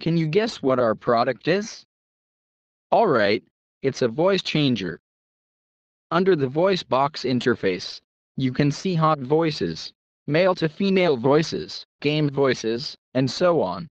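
A man's voice: a little high, big pitch swings, slow pace, no room sound, muffled recording. A man reading a scripted product tutorial as the presenter.

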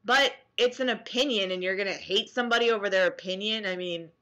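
A young American woman reacting casually to comments she reads aloud, sarcastic and animated, at home on a webcam mic. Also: medium pitch, fast, highs cut off. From New Jersey, US. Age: young adult. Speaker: vlogger. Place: small room at home, indoors.

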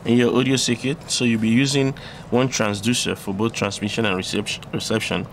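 A man presenting an unscripted electronics tutorial, explaining step by step in a halting way. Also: medium pitch, little pitch movement. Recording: clearly audible background noise.

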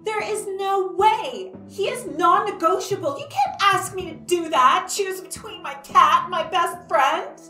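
A woman playing an exasperated, incredulous friend in an improvised soap comedy, protesting in halting bursts. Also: very high-pitched, animated, bright, forceful tone, reverberant, soft background music, full character voice. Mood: indignant. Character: exasperated, protesting friend devoted to her cat.

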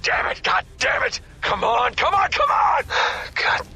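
An actor playing a battle-hardened, foul-mouthed special forces squad leader in terse, commanding combat lines for a video game. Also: male voice, very high-pitched, wildly swooping pitch, a little slow, vocal fry, sharp tone, husky, heavily acted. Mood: frustrated.

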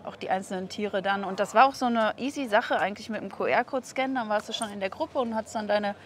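Casual woman interviewer, medium pitch. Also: fast. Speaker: interviewer, hobby aquarium video creator. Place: outdoors beside an expo building. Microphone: clip-on wireless mic on the chest.

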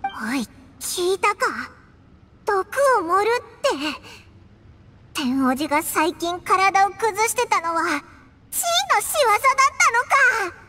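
A tiny indignant fairy for an anime game. The voice is extremely high, piercingly bright and childlike, with long reverb. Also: female voice, takes it slowly, heavily acted. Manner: blunt, childlike, expressive.

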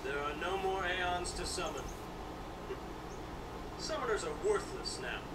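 Game casting: haughty, barking militant trainer, high-pitched, heard over loud ambience. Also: male voice, lively intonation, a little slow, heavy background noise. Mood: stern challenge. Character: stern, arrogant militant drill instructor, contemptuous man. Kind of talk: video game voice acting. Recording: game audio with loud ambience, roomy, distant.

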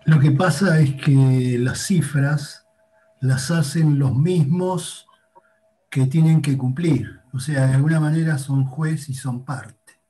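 An older man with an Argentine accent, speaking slowly into a webcam on a video call. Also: on the high side, mellow, rough recording. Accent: Argentine Spanish.

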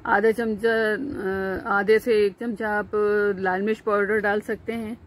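A woman with a Pakistani Urdu accent, hosting a home cooking video and calmly explaining each step at the stove, with frequent fillers and restarts. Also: medium pitch, a little slow, slight background noise. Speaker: cooking channel host.

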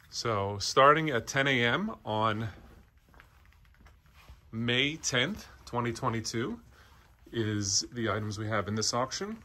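A man with an average-pitched voice presents an informal walkthrough. He speaks off the cuff in short, halting phrases, pointing things out as he goes. It is recorded indoors on a handheld device. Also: sharp tone. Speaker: auction house presenter. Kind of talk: unscripted walkthrough narration, pointing out items. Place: indoor antiques showroom, walking around.

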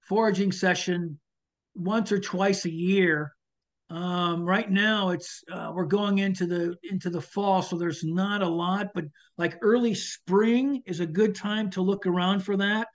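An older man, a chef, answering audience questions on a video call, hesitant with many restarts and fillers. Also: sits high. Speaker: chef and foraging instructor. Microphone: video call webcam. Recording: dull lo-fi video call audio.

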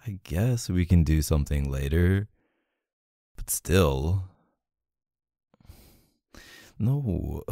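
A man's voice: low-pitched, vocal fry, warm tone, no room sound, studio quality, heavily acted. A man playing a possessive, sulky, protective young boyfriend in an intimate ASMR roleplay, murmuring hesitantly. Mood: pleading, sulky. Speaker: roleplay voice actor.

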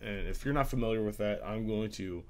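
A young man with a very low, congested voice walks through a software tutorial, his throat strained from a recent illness. He hesitates often with filler sounds as he works, and keyboard typing is heard behind him. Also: fairly quick, slight background noise. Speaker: tutorial creator. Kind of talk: screen-recorded software tutorial.

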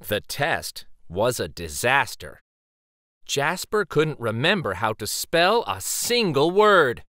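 A man reading a children's story aloud dramatically, with theatrical, suspenseful pauses. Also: medium pitch, big pitch swings, pushed and bright, no room sound, studio quality.

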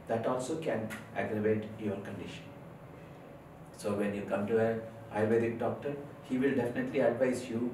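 A man's voice: lowish voice, darkish tone. A middle-aged man from India, an Ayurveda physician, explaining sinus care to camera with many hesitant fillers. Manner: earnest explaining with frequent uh fillers, gesturing with hands. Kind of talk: health talk to camera. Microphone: lapel mic. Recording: very echoey room, distant mic, faint noise.

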